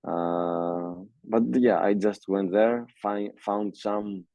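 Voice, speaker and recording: Deep-voiced Greek man, halting speech. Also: fairly even intonation, warm tone, no room sound. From Greece. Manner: halting, many fillers and restarts. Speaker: music producer, guest. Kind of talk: casual interview.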